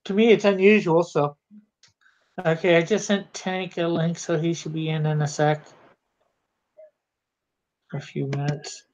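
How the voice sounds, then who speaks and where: A man's voice: on the high side, steady tone, measured pace, long pauses, darkish tone. A man from Canada hosting a casual online chat, rambling with plenty of fillers and laughs. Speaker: podcast host. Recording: dull lo-fi online call audio.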